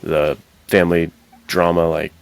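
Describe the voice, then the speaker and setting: A man's voice: low voice, level tone, measured pace, gravelly. A young American man co-hosting a podcast, casually discussing films in slangy, opinionated talk. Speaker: podcast co-host.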